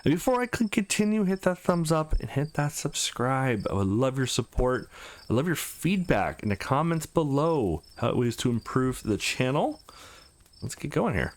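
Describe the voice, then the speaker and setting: A man's voice: medium pitch, very animated, moderate pace. A man hosting a let's play, mixing casual commentary with read-aloud visual novel narration.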